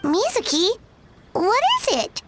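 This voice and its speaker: A woman voicing a small, childlike, excitable fairy companion in an anime game, squeaky and quick to exclaim. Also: exaggerated voice. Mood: puzzled. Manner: exclaiming, refers to self in third person. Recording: music behind the voice.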